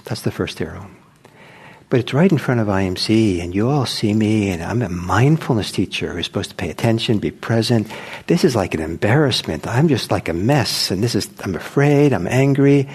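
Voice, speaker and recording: An older man who speaks softly, giving a gentle, unhurried meditation talk and answering questions. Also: fairly low pitch, breathy edge. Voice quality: soft-spoken. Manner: gentle, unhurried, with many restarts. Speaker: meditation teacher. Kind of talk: dharma talk with Q&A. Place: meditation hall.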